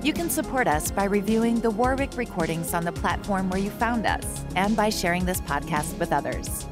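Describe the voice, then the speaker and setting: A woman's voice: medium pitch, expressive, normal speed. A woman announcer giving a scripted read of podcast credits. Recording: voice over clear music bed. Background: background music.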